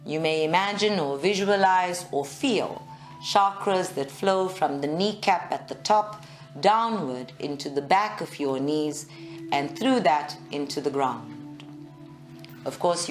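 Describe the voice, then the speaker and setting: A woman guiding a live meditation in a measured, soothing way, with long pauses. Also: low-pitched. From South Africa. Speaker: meditation guide. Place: group meditation session at a centre, recorded live.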